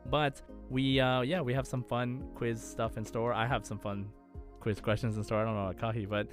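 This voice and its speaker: A man's voice: medium pitch, flat delivery, moderate pace. Upbeat male podcast host, music behind. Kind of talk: casual podcast chat.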